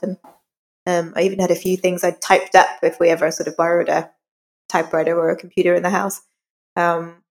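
A woman speaking as an interview guest, informal and self-deprecating, telling personal anecdotes in a conversational way. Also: mid-range voice. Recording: long reverberant hall echo.

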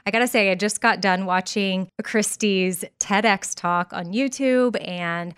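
A woman's voice: mid-range voice, a little slow, fairly bright tone, clean tone. A woman hosting a podcast, chatting in a bubbly, enthusiastic way with her guest. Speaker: podcast host. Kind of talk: casual podcast interview.

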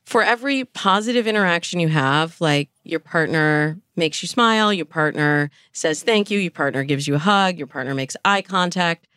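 Low-voiced woman giving coaching advice. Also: moderate pace, hardly any pauses, fairly bright tone, clean tone, no room sound, studio quality. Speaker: dating coach, interview guest. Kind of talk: conversational advice in a podcast interview.

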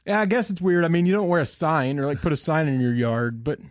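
Fast-talking man in casual banter. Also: on the high side, fairly even intonation, dry recording, studio quality. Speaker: podcast co-host.

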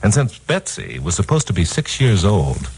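A man's voice: low-pitched, huge pitch swings, moderate pace, darkish tone, a little hiss or hum, exaggerated voice, poor audio. An actor playing a wisecracking, tough crime-fighter narrating his case in a 1950s radio drama, hard-boiled and sardonic. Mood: breezy, wry. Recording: old 1950s radio recording, music underneath.

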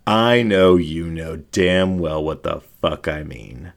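A man's voice: sits low, takes it slowly, creaky, heavily acted. A man voicing a laid-back, blunt and flirtatious male dingo in a casual, teasing way, as in an audio story.

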